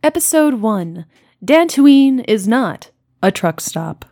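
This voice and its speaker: A woman's voice: fairly high pitch, big pitch swings, slow pace, dark tone. A woman reading scripted opening narration for an audio drama. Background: music.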